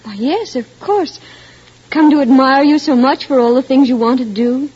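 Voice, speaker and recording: A woman's voice: high-pitched, moderate pace, darkish tone, breathy edge. An actress playing a sweet, admiring young small-town woman in a 1940s radio drama. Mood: admiring, tender. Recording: muffled 1940s radio recording.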